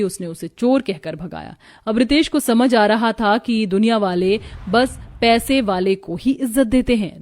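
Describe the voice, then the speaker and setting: High-pitched female story narrator. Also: darkish tone.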